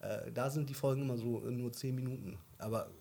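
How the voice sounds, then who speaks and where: A young man with a slightly husky voice chats in a casual podcast, thinking aloud. He rambles, breaks off sentences and restarts them, and scatters fillers throughout. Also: medium pitch, steady tone, a little fast, mellow. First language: German. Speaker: podcast co-host. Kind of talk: casual chat.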